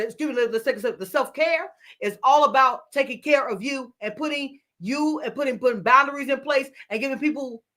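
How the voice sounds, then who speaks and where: Woman host, business mentor, high voice, chatty, laughs a lot. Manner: animated, laughs often, repeats words. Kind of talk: casual panel talk show.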